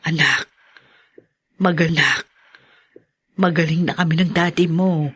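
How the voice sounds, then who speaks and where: A remorseful older mother acted by a woman in an audio drama. The voice is husky and worn, and she speaks haltingly and contritely, as someone seeking to make amends. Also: low voice, fairly even intonation, fairly bright tone, exaggerated voice. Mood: concerned.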